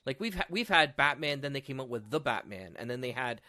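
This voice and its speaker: Male podcast co-host, middle-aged, sharp bright tone, mid pitch, argumentative casual talker. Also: very animated, brisk. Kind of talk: animated casual panel discussion.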